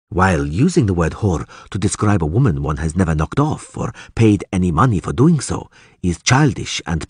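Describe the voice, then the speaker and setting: A man plays a sardonic elf captive in a fantasy audiobook. He speaks with precise, dry sarcasm in a husky voice, his pitch moving freely. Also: dry recording, exaggerated voice. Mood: dry, matter-of-fact. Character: sardonic male elf prisoner, sneering, coolly articulate.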